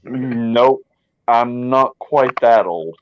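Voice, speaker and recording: A man's voice: medium pitch, very slow, no echo, muffled recording. A man, hosting a casual chat and talking in an easygoing, hesitant way. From Tennessee. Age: 20s.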